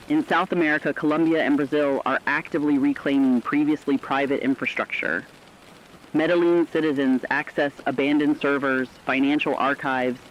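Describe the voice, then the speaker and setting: A man's voice: mid-range voice, slight background noise, natural voice. A man playing an earnest radio correspondent in a doomsday broadcast, reading scripted news formally and evenly in dull, lo-fi sound.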